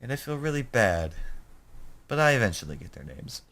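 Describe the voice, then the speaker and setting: A young man with a deep voice hosting a let's play, reading a visual novel aloud and rambling in self-deprecating asides. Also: very animated, brisk, a bit bright.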